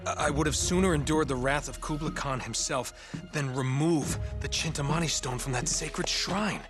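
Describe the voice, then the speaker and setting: A man's voice: a little high, moderate pace, close to an everyday voice. Guy acting as wry american adventurer dude, treasure hunter type, video game cutscene, music under it. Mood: curious, absorbed. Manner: casual, wry. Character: wisecracking, eager male treasure-hunting adventurer.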